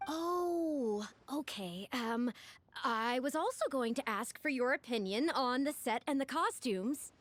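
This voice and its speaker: High-voiced, starstruck young reporter. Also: female voice, lively intonation, unhurried, dry recording. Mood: flustered, honored. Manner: stammering, deferential, gushing. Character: shy, starstruck young female rookie journalist, eager and earnest. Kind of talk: video game voice acting. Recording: music bed under the voice.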